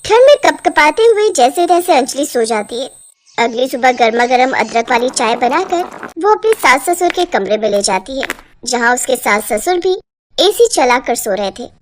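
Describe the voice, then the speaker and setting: A woman's voice: high voice, expressive, moderate pace. A woman narrating a scripted family story as a storyteller.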